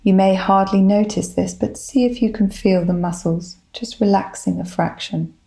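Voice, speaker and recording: A woman leading a guided body scan meditation, giving gentle, soothing instructions in a calm manner. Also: medium pitch, normal speed, gravelly. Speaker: psychologist, guided meditation leader.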